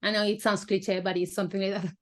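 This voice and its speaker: A woman filmmaker from Colombia, a native Spanish speaker, answering interview questions in casual, halting English with many fillers and restarts. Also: mid-range voice, level tone. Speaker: filmmaker guest.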